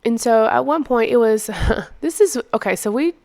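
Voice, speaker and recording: An American woman with a stuffy, congested voice gives a low-key, casual voice-over as a craft video host. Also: normal speed, vocal fry, darkish tone. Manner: low-key, not very peppy. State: congested from being under the weather.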